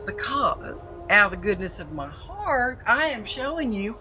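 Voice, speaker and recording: A woman's voice: mid-range voice, very animated, a little slow, music in the background. A woman playing a sweetly menacing supernatural overseer of the dead in an audio drama, coaxing and mock-friendly. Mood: sternly menacing.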